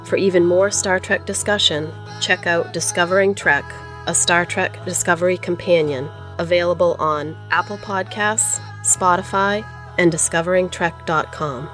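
A woman's voice: lowish voice, normal speed. Woman announcer over guitar music. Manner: smooth, even announcer delivery. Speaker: podcast announcer. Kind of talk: scripted credits read.

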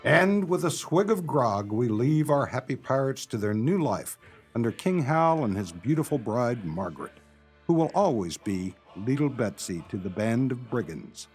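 A man's voice: mid-range voice, sing-song, moderate pace, darkish tone, breathy edge, faint music behind. A man reading scripted radio narration and cast credits as the announcer.

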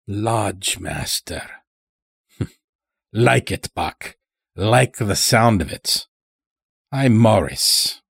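Man voicing a drawling old farmer, folksy and raspy. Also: lowish voice, expressive, very slow, pauses often, no echo, studio quality, exaggerated voice. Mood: amused, sly. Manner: drawling, dragging words out, folksy.

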